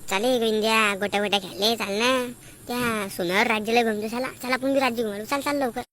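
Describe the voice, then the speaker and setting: Woman as a hurried, high-voiced village boy, rural Maharashtrian accent. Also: fairly even intonation, fairly bright tone, slight background noise, lightly acted. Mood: eager. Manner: hurried, pestering. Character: playful, pestering little village boy, marble-playing friend. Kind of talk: comic Marathi village cartoon dialogue.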